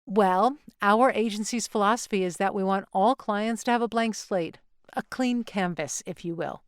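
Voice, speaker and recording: Woman acting a smooth, glib real estate agent. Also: medium pitch, moderate pace, dry recording, studio quality, natural voice. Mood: evasive reassurance. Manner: salesy, glib, reassuring. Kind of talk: audio drama dialogue.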